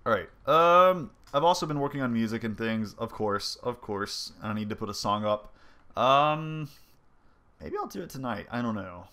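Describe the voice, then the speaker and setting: A man's voice: medium pitch, expressive, moderate pace, pushed and bright. A young man with a stuffy, congested voice, casually thinking aloud as he shows off his game engine, with plenty of ums and likes. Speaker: indie game developer. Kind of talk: casual devlog commentary over gameplay.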